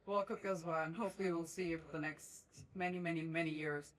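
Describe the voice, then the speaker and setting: A woman's voice: low voice, fairly even intonation, fast. A woman moderator introducing the judges and welcoming them. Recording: voice far from the mic.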